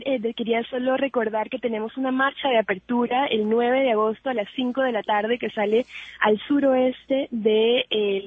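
Interview guest, female, phone-quality audio, slightly raspy, warm and hesitant. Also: a little high, no room sound. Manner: friendly, with hesitant fillers. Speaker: festival coordinator being interviewed. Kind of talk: casual radio interview. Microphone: phone line. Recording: tinny phone line, husky.

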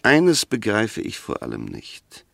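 A defence lawyer in a sixties radio drama, with a fairly low voice in a dry studio sound. He is articulate and formal, building each point methodically with rhetorical emphasis. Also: huge pitch swings, slow pace, vocal fry, a bit bright, dry recording, heavily acted. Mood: probing, insistent. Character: measured, incisive male defence lawyer arguing a murder case. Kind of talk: 1960s German radio play acting.

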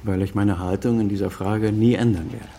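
A man's voice: lowish voice, fairly even intonation, a little fast, gravelly, mellow. An estranged father and senior doctor past fifty in a radio play. He speaks with formal, articulate phrasing. He is proud and always defending himself. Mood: firm, dismissive. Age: middle-aged or older, over fifty. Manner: formal, articulate, self-justifying. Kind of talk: German radio drama dialogue.

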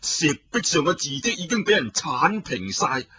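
A man's voice: on the high side, very animated, normal speed, pushed and bright, slightly rough, no room sound, heavily acted. A man voicing a proud young male hero who speaks in an earnest, formal way.

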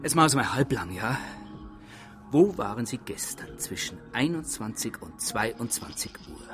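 An actor playing a stern, impatient police inspector in a radio drama, questioning formally and insistently. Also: male voice, mid-range voice, big pitch swings, measured pace, over music. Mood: probing. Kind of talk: naturalistic radio drama acting.

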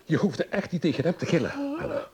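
A man's voice: a little high, huge pitch swings, fairly quick, darkish tone, faint music behind. An actor in a radio play playing a domineering middle-aged husband who scolds his wife in a stern, condescending, exasperated way. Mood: reproachful.

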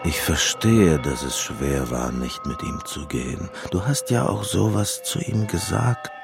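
A weary soldier in a radio drama. He speaks very slowly and haltingly in an extremely low, gravelly voice, subdued, with very loud music around him. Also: expressive, heavily acted. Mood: halting, reflective. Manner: halting, deliberate. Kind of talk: radio play dialogue.

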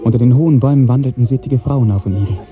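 A man narrating a literary radio drama in a measured, lyrical 1950s storytelling style. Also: mid-range voice, faint music behind. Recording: muffled old 1950s radio recording.

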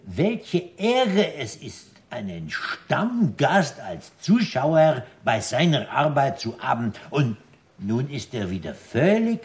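An actor playing a suave, sly restaurant owner with formal, elaborately polite speech in a 1960s German radio drama. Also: male voice, mid-range voice, big pitch swings, slow. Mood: smooth, reassuring.

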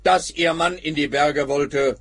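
An actor in a radio play playing a smug, dismissive police officer with an officious, condescending manner and a husky voice. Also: male voice, on the high side, fairly even intonation, slow pace, fairly bright tone, full character voice. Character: smug, dismissive male police officer, happy with easy answers.

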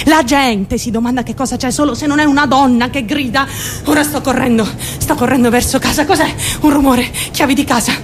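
A woman's voice: high voice, noisy background, lightly acted. An actress playing a troubled, obsessive and fearful young woman in a radio drama monologue, intense and questioning herself as she confides in onlookers. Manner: intense, self-questioning, shifting suddenly in tone.

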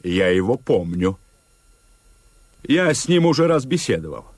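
Актёр играет подозрительного, угрожающего офицера тайной полиции, который отрывисто допрашивает в советской радиопостановке. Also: male voice, medium pitch, big pitch swings, a little slow, darkish tone, slightly rough, dry recording, full character voice. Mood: veiled menace. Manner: curt, threatening, interrogating. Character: menacing, suspicious secret police officer following orders. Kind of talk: Soviet radio drama acting.

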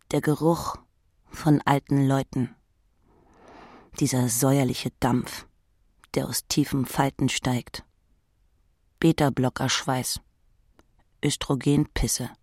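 A narrator reads literary prose with a creaky, husky voice. The delivery is dramatic and addressed straight to the listener, in a very dry recording. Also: mid-range voice, fairly even intonation, slow pace, pauses often, a little mellow, dry recording. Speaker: audiobook narrator. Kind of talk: literary second-person narration.

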